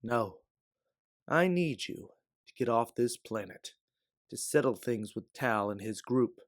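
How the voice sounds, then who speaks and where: Scarred older mercenary, read by a man, measured and weary, low-key, dry sound. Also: dry recording. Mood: curt. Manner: measured, explaining calmly. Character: aged, scarred mercenary man, calm, weary, self-justifying.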